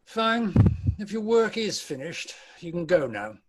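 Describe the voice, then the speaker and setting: Miserly old Victorian uncle played by a man, scornful and curt, fairly high male voice, very unhurried delivery. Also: big pitch swings, slightly rough, exaggerated voice. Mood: grumpy, grudging. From United Kingdom. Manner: curt, dismissive, sarcastic. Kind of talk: amateur table read of a Victorian Christmas story.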